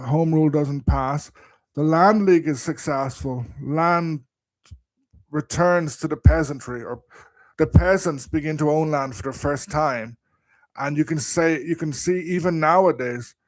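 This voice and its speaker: A man's voice: a little high, no room sound. A man giving an informal live history talk, explaining conversationally and thinking aloud, his voice strained by a coming cold. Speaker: history presenter.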